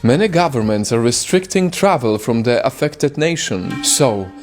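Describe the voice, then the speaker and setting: A man's voice: medium pitch, lively intonation, moderate pace. A man narrating a scripted explainer video in an emphatic way, posing rhetorical questions as he presents. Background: music.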